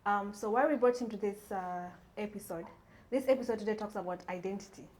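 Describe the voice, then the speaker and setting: A woman's voice: mid-range voice, a little fast, a little mellow, reverberant. A young woman who grew up in Kenya, co-hosting a podcast, introducing the episode in an earnest way with some fillers. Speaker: podcast co-host.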